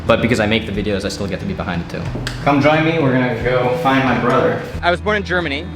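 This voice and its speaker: A man's voice: medium pitch, fast. Young man with an American accent, music behind. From Romania. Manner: relaxed, rambling with fillers. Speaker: food video creator. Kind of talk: casual interview.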